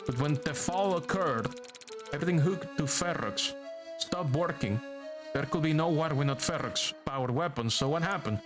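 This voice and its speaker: A man reading a gruff game archaeologist. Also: on the high side, normal speed, lightly acted. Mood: musing. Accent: Venezuelan Spanish, Maracaibo. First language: Spanish. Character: gruff archaeologist who studies ancient weapons. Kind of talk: reading game dialogue aloud. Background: game music.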